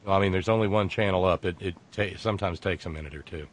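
A man's voice: deep voice, quick pace. A man hosting a casual live show, rambling with many fillers and restarts. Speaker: host. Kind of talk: casual livestream talk.

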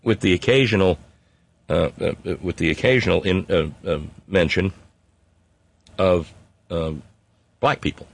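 A man's voice: low-pitched, unhurried, gravelly, long pauses. A man hosting a live stream, talking in a rambling, wry way with many fillers and restarts while he reads out viewer comments. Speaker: livestream host reading chat. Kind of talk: casual unscripted monologue.

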